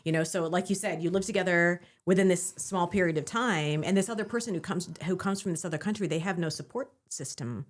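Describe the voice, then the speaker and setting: A woman's voice: fairly low pitch, brisk, few pauses. A woman co-hosting a podcast, thinking aloud with many fillers and often agreeing with her partner. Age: adult, divorced. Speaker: podcast co-host. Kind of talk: conversational podcast discussion.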